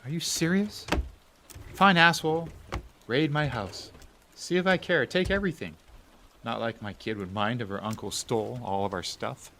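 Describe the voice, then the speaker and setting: A man's voice: mid-range voice, normal speed, bright, forceful tone, a little hiss or hum. A man playing a bitter, overworked family man with a sardonic manner in an audio drama. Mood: angry, sarcastic. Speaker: actor. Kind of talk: audio drama acting, dialogue and narration to the audience.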